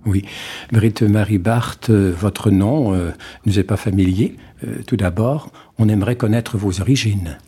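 A male interviewer with a dark, mellow tone and a very husky, breathy voice. He asks his questions slowly and encourages his guest with brief acknowledgements. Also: fairly low pitch. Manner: slow, encouraging, frequent short acknowledgements. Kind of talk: radio interview.

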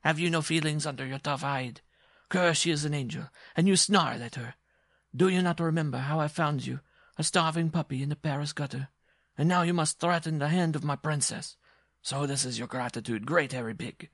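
A man voicing a proud, touchy, lovestruck circus dwarf in a story, with a shrill voice and florid, pompous speech. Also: fairly quick, a bit bright, no echo, clean studio sound. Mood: scolding, hurt.

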